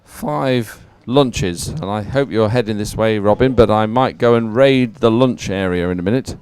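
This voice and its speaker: A man's voice: fairly low pitch, normal speed, darkish tone, a little hiss or hum. A man commentating live on a sporting event in a chatty, conversational style.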